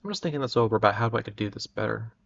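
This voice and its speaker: Male livestream host, lowish creaky voice, rapid but stumbling delivery, informal and conversational. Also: a bit bright, lo-fi sound. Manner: halting, frequently stammering over words. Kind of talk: casual livestream chat with viewers.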